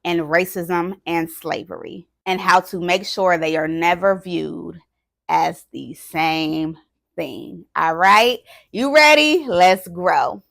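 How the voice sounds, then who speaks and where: A woman hosting an educational talk as an experienced teacher, speaking in an expressive, emphatic way. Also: on the low side, slow pace, gravelly, no echo, studio quality. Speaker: host and experienced early-years educator.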